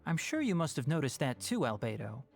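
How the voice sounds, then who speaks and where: Wry, animated young scholar. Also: male voice, on the high side, fairly quick, vocal fry, soft background music. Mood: thoughtful. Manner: dry, articulate, matter-of-fact. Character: wry, sensible young male scholar and guardian. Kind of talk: video game cutscene acting.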